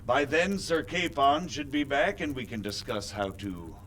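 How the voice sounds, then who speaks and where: An actor playing a courteous medieval nobleman in a game cutscene, speaking formally and deliberately. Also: medium pitch, sing-song, a bit bright, slight background noise. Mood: composed. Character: measured, courteous medieval nobleman plotting politics. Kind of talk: video game cutscene acting.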